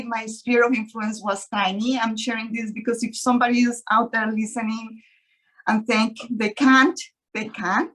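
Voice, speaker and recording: A woman from Colombia with a Colombian Spanish accent, an estate agent telling her story on a webinar panel in an earnest way, pausing often. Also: a little high, fairly bright tone, rough recording. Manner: earnest, many pauses and ums. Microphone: video call.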